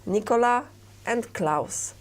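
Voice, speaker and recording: A woman's voice: a little high, lively intonation, takes it slowly, slight background noise. A woman hosting a conversational TV interview, asking questions in simple, non-native English phrasing. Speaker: TV interviewer and host.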